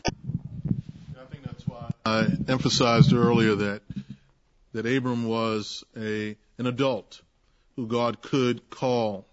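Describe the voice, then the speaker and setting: A man's voice: mid-range voice, level tone, takes it slowly, pauses often. A man leading a group Bible discussion, preaching emphatically and acting out the voices he quotes. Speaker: Bible study leader. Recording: muffled lo-fi recording.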